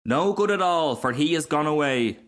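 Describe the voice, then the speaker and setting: A dragonfly onlooker acted by a man reading a storybook. The voice sits noticeably high and speaks in a dry, matter-of-fact way. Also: expressive, normal speed, a bit bright.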